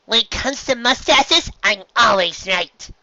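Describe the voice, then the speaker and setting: A man voicing a hyper, silly, confident female cartoon pony in a dramatic, drawn-out way, reading a story. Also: extremely high pitch, lively intonation, gravelly, bright, forceful tone, no room sound, highs cut off, pitched above the performer's own voice, full character voice. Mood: playfully confident.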